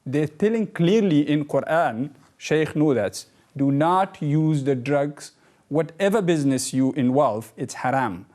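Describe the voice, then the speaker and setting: A man from Afghanistan, speaking as a guest in a debate and explaining his view in halting, repetitive English. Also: fairly high pitch, lively intonation, a little mellow. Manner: halting, repeats words, earnest. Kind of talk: debate discussion.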